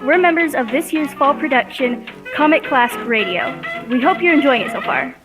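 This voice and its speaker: A teenage female student presenter with a high-pitched voice. She delivers a scripted address to the audience. Music is heard behind her. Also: lively intonation, moderate pace, rough recording. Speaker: student presenter, drama performer.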